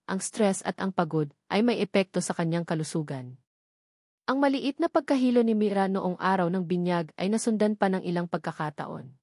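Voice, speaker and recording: A woman's voice: medium pitch, darkish tone, no echo, clean studio sound. A woman with a Filipino accent reading scripted dramatic narration of a love story as an audiobook narrator.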